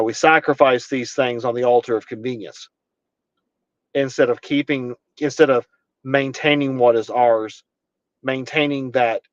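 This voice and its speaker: A man's voice: mid-range voice, no room sound, highs cut off. Earnest young man from Kentucky. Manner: earnest, rambling with many restarts. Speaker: podcast guest. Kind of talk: casual conversation.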